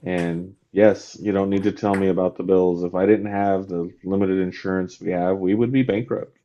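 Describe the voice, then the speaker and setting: Man, very deep voice, upbeat host, dull lo-fi sound. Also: steady tone, normal speed, warm tone, a little hiss or hum. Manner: upbeat, encouraging, talks to the audience directly. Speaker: podcast host. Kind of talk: casual podcast chat.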